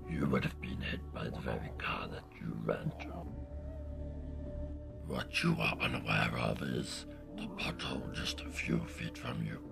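A hooded reaper at the bedside in an audio horror tale, impossibly deep, softly drawing out each menacing word over heavy music. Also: male voice, exaggerated voice. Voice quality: very low, gravelly, inhuman voice. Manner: slow, softly spoken, ominous pronouncements. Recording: music behind the voice.

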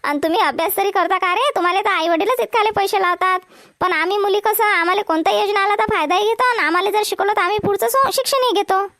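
A bossy young village woman for a cartoon, super high and fast, vidarbha marathi accent, full of herself. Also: fairly bright tone, no echo, clean studio sound. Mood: argumentative. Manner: argumentative, self-satisfied. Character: demanding, cheeky young village woman with boastful temper. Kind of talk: comedy cartoon dialogue.

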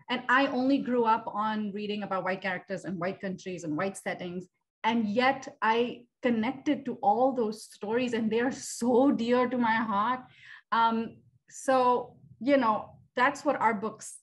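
A woman in her thirties from India, an author speaking casually on a panel over a video call, animated and full of fillers. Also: medium pitch. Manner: animated, gestures with hands, many fillers. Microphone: video call webcam.

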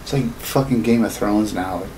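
A man's voice: fairly low pitch, moderate pace, dark tone. A man in his thirties chatting casually, jokey and profane, wandering off topic as a co-host. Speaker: podcast co-host. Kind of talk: casual joking chat. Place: small home studio room, indoors. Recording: noisy room ambience.

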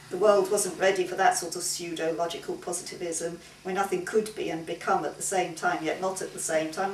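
A middle-aged woman reading a short story aloud as scripted first-person narration in a living room. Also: on the low side. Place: indoors in a living room at a home gathering. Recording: roomy, distant mic, faint hiss.

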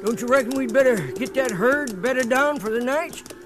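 An actor playing a nosy, wry old trail-hand sidekick with folksy American speech in a western radio drama. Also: male voice, extremely high pitch, moderate pace, music in the background. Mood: curious, wry. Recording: noisy old radio recording.

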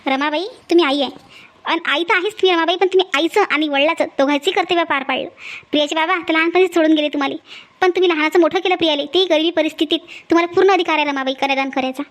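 A woman's voice: extremely high pitch, rapid-fire. A woman voicing a kindly, bustling village mother in an amateur cartoon comedy, earnest and chatty, with a Varhadi Marathi accent. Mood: earnest, reassuring.

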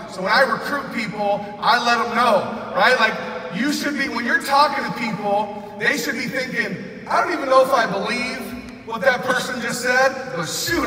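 A man in his thirties giving a loud, excited motivational speech on a big convention stage, often shouting to the crowd. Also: extremely high pitch. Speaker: convention speaker. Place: large convention arena stage. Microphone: far recording from the audience. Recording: echoey arena recorded from far off.

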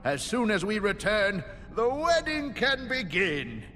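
A man's voice: fairly high pitch, very animated, slow, noisy background. An actor playing a jovial, pompous old king and doting father, with grand theatrical delivery in a cartoon video game. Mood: overjoyed. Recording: long echo of a great hall.

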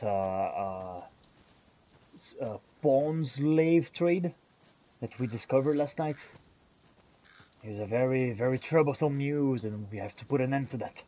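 A man roleplaying a bossy, weary fantasy warrior in a video game, improvising theatrically with a husky voice. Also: medium pitch, warm tone, lightly acted, poor audio. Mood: earnest. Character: male fantasy warrior, weary, bossy, exasperated with his companion. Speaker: gamer roleplaying as his character.

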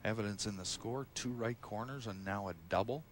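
A man's voice: fairly low pitch, fairly quick. A man giving explanatory, technical colour commentary during a live bowling match. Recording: live crowd noise behind. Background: audience and hall noise.

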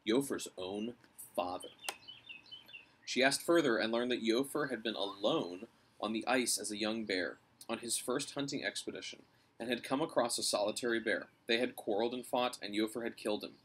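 Husky American man reading a story aloud. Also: lowish voice, moderate pace, a bit bright. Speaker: storybook reader. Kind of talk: read-aloud children's novel narration. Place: covered house porch, outdoors.

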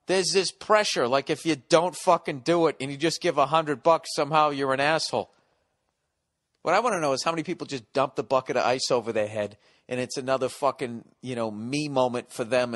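A man's voice: medium pitch, steady tone, fairly quick, no room sound, clean studio sound. Profane, ranting American man. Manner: profane, sarcastic ranting, swings loud and soft. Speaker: podcast host. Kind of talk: ranting solo podcast monologue.

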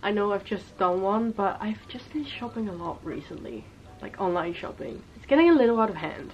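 A woman's voice: medium pitch, expressive, brisk, lo-fi sound. A young woman in her twenties with a cold that makes her sound a bit nasal, chatting casually and giggling as she shows clothes in her bedroom. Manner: chatty, giggly, self-correcting. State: has a cold. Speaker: fashion vlogger. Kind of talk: casual try-on haul chat. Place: bedroom, sitting on a bed. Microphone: camera in front, upper body framing.

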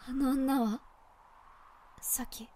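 A woman playing a wistful, resolute girl in an audio drama, speaking softly with dramatic pauses. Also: sits high, flat delivery. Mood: quiet, loyal. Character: wistful, resolute girl who has turned man-eater. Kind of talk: Japanese audio drama acting.

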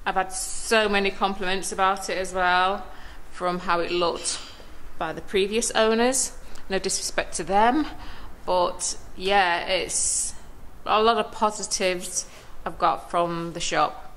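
A woman giving a casual walking tour of her shop and café, filmed on a handheld phone. She chats in a relaxed way and laughs now and then. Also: medium pitch, slow, hardly any pauses, slight background noise, rough recording. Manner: chatty and relaxed, with small laughs. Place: small shop and café, indoors and on the pavement outside. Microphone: handheld phone camera.

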